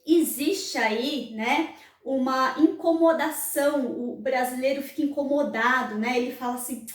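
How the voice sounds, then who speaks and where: Brazilian woman vlogger, high voice, earnest teaching tone, distant mic with room echo. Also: a little slow. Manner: frequent tag questions, earnest and didactic. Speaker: channel host. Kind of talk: explanatory vlog talk.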